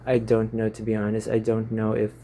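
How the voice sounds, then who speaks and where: Rambling young Filipino man, low mellow voice. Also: completely flat delivery, normal speed, slight background noise. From Philippines. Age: 20s. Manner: stumbling, fumbling over words. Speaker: YouTuber. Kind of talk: casual rambling vlog chat. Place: small stuffy room. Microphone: phone.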